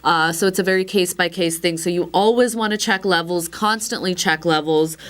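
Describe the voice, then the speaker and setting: A slightly hoarse woman giving a casual, chatty product demonstration, with frequent fillers and wry asides. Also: fairly low pitch, brisk, a bit bright. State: a little hoarse. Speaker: instructor demonstrating a recorder.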